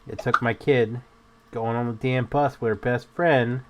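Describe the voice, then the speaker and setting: A man's voice: medium pitch, measured pace, noisy background. A man with a slightly nasal voice from a recent illness, chatting casually and telling joking stories while playing a video game with a friend. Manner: joking, animated storytelling. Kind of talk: casual chat while gaming.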